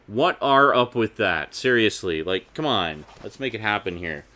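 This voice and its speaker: Male collector-vlog host, lowish voice, casual and chatty, unscripted delivery with informal asides. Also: animated, normal speed, pushed and bright. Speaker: video host reviewing a DVD collection.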